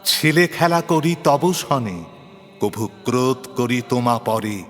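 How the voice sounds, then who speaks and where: A man reciting devotional poetry in a solemn, declamatory way with drawn-out, chant-like phrasing. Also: mid-range voice, animated. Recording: long hall reverb, music behind. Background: music.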